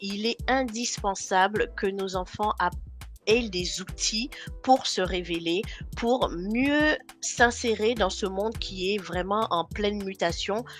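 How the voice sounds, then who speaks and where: A woman from Guadeloupe, a guest speaking remotely in a podcast interview, earnest and pausing often on fillers. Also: medium pitch, soft background music. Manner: earnest, with frequent hesitation fillers. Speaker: guest, founder of an online training company. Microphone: remote call.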